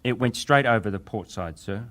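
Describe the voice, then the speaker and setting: A man acting as a young ship's wireless operator and survivor, giving testimony in a deferential, hesitant, measured way. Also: fairly low pitch, a bit bright, close to an everyday voice. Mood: subdued, deferential. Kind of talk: dramatized reading of hearing testimony.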